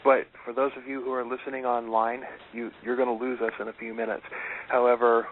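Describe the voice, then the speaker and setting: A man's voice: medium pitch, level tone, brisk, a little hiss or hum. A man co-hosting a loose talk show, chatting casually and rambling with lots of fillers and restarts. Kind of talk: casual chat. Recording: tinny phone-like internet radio.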